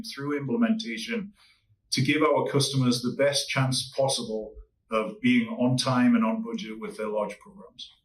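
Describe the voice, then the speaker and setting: A man with a Newcastle accent speaks at a middle pitch, answering interview questions in a measured way, pausing on ums and restarting phrases. He sits a little back from the microphone, so his voice sounds slightly distant. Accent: Newcastle English. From England. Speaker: consulting executive.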